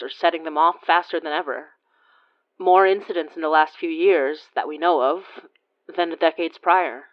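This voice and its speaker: A woman's voice: fairly low pitch, lo-fi sound, natural voice. A woman playing a friendly, weary investigator of the supernatural, speaking in a casual, conversational, sometimes hesitant way. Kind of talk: naturalistic audio drama acting.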